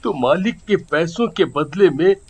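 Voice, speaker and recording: Poor weary father, high-voiced and slow. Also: lively intonation, slightly rough, exaggerated voice. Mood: dejected, worried. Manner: pleading, sorrowful. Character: poor jobless father, meek, weary and tenderly devoted. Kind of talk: cartoon moral story acting.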